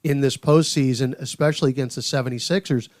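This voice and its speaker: Male radio announcer, mid-pitched, dry booth sound, deliberate delivery. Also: steady tone, a little mellow, no echo. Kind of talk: casual sports podcast chat.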